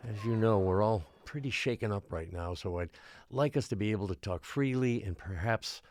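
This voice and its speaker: An actor playing a beleaguered small-island mayor in an audio drama, speaking in a measured, formal, placating way. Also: male voice, lowish voice, a little mellow, slight background noise, close to an everyday voice. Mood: grave, measured. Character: beleaguered small-island mayor trying to calm frightened residents. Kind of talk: audio drama acting, public address.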